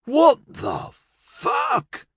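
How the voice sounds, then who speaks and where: A man voicing a young male street ganger who yells in shrill panic and defiance. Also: steady tone, slow, dry recording, studio quality, exaggerated voice. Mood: panicked yelling. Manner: shrill yelling.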